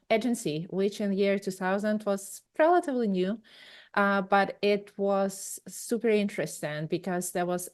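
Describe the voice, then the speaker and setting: Ukrainian accent lady in her 40s, smooth voice, rambly podcast guest with lots of ums. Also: lowish voice. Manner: rambling storyteller with many fillers and restarts. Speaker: guest, tech company founder. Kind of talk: conversational interview answers.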